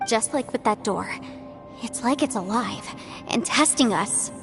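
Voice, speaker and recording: Earnest, higher-voiced young companion. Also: female voice, expressive, a little slow, over music. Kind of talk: video game voice acting.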